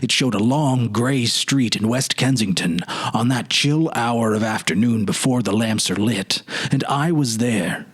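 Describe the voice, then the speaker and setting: A man playing a haunted, wistful man recalling his lost childhood, halting and earnest in a dramatic literary reading. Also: medium pitch, breathy edge. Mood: wretched, grieving.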